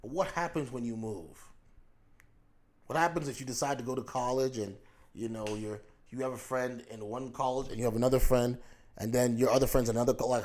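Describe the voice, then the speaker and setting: A man's voice: mid-range voice, a little mellow. A man giving a casual monologue as a YouTube commentator, reflective, posing rhetorical questions to the viewer and circling back over his own words. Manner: talks in loose restarts and repeats himself.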